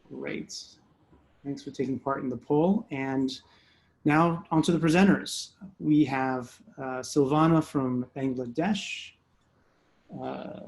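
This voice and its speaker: Relaxed man hosting a video-call show. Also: medium pitch, vocal fry. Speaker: show host and moderator. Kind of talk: moderating an online pitch show.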